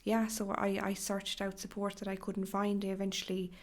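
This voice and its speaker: Hoarse Irish woman hosting a podcast. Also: mid-range voice, monotone, moderate pace. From Ireland. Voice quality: crackly, breathy in places. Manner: gentle, chatty, with fillers and restarts. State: hoarse from a cough. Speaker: podcast host. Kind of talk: solo podcast talk.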